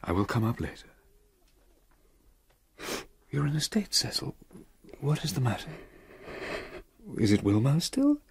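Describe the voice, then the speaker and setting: A charming, secretive grown man in a radio play. His voice is very husky and breathy, with lively pitch movement, and he coaxes softly and persuasively. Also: a little slow, long pauses, full character voice. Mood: gently coaxing. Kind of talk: radio drama acting.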